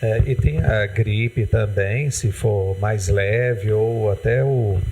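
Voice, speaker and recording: A man's voice: lowish voice, slow pace, warm tone, slightly rough. A man with a Brazilian accent hosting a TV health interview, asking rambling, hesitant questions full of "né". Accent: Brazilian Portuguese. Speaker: TV host. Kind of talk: interviewing a guest on a community TV health segment. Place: TV studio.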